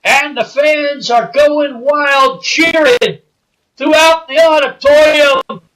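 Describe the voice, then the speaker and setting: An excitable male wrestling commentator with a very high, bright voice. He draws his words out slowly and breaks into shouts at the big moments. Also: low-quality recording. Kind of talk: play-by-play commentary.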